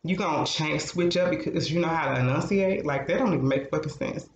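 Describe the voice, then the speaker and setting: A man's voice: a little high, brisk. Blunt, roomy-sounding male co-host. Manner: blunt, frank, swears freely. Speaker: podcast co-host. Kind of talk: casual advice chat. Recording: roomy, slightly dull sound with faint noise.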